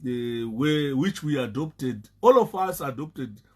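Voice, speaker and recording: Halting Zimbabwean man on a live stream. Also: mid-range voice, animated, a little mellow, slightly rough. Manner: halting, repeats words, laughs often. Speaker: Facebook live host. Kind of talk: casual live-stream talk.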